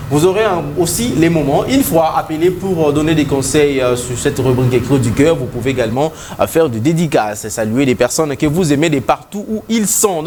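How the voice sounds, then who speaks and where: A man with a Congolese French accent hosting a casual morning TV show, greeting viewers in a jovial, drawn-out way. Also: on the high side, background noise.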